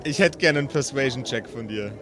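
A man's voice: medium pitch, brisk, a crowd in the background. A man acting as game master, improvising the narration of a tabletop role-playing game.

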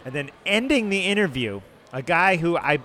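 A man's voice: fairly high pitch, very animated, normal speed, bright, forceful tone. Podcast co-host, man from Buffalo, upbeat and chatty, recorded in a hotel bar with faint noise. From Buffalo area. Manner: upbeat, introduces guests. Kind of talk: casual chat.

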